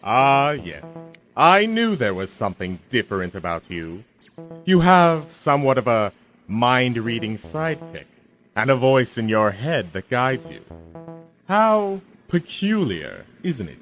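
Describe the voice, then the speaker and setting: An actor playing a smug, menacing male tyrant of the universe in a comic sci-fi radio drama, with theatrical, drawn-out delivery. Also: medium pitch, big pitch swings, a bit bright, soft background music. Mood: impatient gloating. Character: smug male villain, self-proclaimed ruler of the universe.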